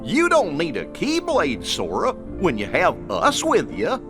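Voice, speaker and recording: Slow, swooping, dopey cartoon dog. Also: male voice, on the high side, huge pitch swings. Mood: warm, cheerful. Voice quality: dopey, drawling. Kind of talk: animated game voice acting. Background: game music.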